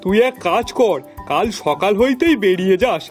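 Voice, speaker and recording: A man's voice: high-pitched, animated, moderate pace, soft background music. A man playing a fussy, dependent, easily exasperated master of the house in a cartoon, in a theatrical, complaining way. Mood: resigned, kindly. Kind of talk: cartoon voice acting.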